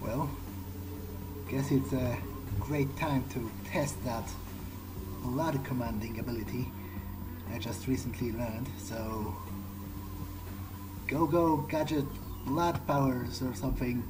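A man narrating a gameplay video in character, thinking aloud in a halting way. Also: mid-range voice, noisy background. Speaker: gaming video host. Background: game music.

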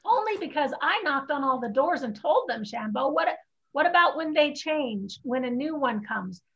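A woman playing a sharp, cheeky young Chinese girl scribe in a staged play reading. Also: sits high, animated, moderate pace. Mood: urgent, scheming. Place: home room, video call. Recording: muffled video-call audio.

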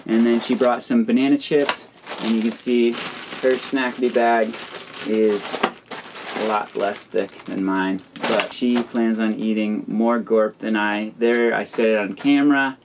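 Man explaining casually over clear background noise. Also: mid-range voice, poor audio. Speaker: outdoor vlogger. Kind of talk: casual how-to explanation to camera. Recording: clear ambient noise behind voice.

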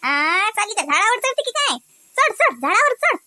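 A woman's voice: very high voice, very animated, normal speed, bright, forceful tone, no echo, exaggerated voice. A woman voicing a chatty, homely wife and mother in a cartoon, speaking. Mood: playful scolding. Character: chatty homemaker wife and mother, fond, mildly grumbling. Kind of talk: conversational cartoon dialogue.

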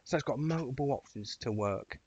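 A man giving a casual, rambling software tutorial in an informal, chatty way as a voice-over. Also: medium pitch, animated, a little fast, lo-fi sound, a little hiss or hum. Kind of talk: casual screen-share tutorial walkthrough.

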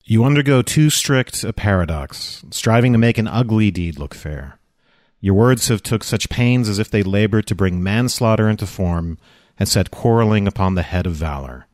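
Guy reading grave formal shakespeare character, measured verse, lowish voice, very dry sound. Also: lively intonation, darkish tone, no room sound, natural voice. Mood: stern. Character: grave, measured male servant or stern senator. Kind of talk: unrehearsed Shakespeare play reading.

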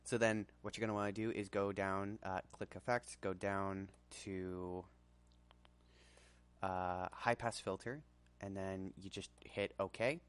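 A man presenting a casual software tutorial in an informal, hesitant way. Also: deep voice, fairly even intonation, slow, clean tone.

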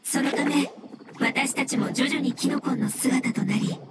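A woman voicing a gentle fungus elemental in an anime game, earnest, formal and measured. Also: high voice. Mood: calm, explaining. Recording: loud music under voice.

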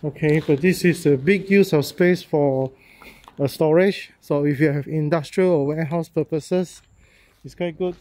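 A man, a real estate agent walking through a property and talking casually, halting and repeating himself with many fillers as he goes. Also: on the high side, a little mellow. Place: walking through a house and yard, in and out of doors.

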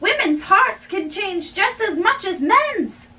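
A woman's voice: very high-pitched, big pitch swings, pushed and bright, pitched above the performer's own voice, heavily acted. A young woman playing an exasperated, wise older holy man who scolds emphatically in a dramatic play reading. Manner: emphatic, drawn-out admonishing. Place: small bedroom, indoors. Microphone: camera a few feet away. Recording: distant mic in a bedroom.

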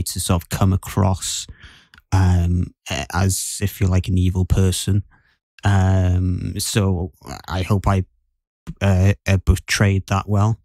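A man in a casual podcast discussion, speaking in a hesitant, halting way as he talks about his acting. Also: low-pitched, a little mellow, no echo, clean studio sound. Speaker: podcast guest actor.

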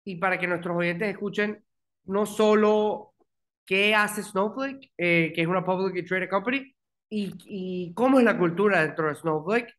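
A man's voice: sits high, fairly bright tone. A man in his thirties hosts a casual interview from a small room at home, speaking over a video call. He talks in starts and stops, repeating words as he looks for the next phrase. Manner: halting, many restarts and repeated words. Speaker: podcast host.

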